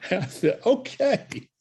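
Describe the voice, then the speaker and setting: A man's voice: very high voice, sing-song, very slow, darkish tone. A man hosting a talk show, telling anecdotes in a casual way with fillers. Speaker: radio show host.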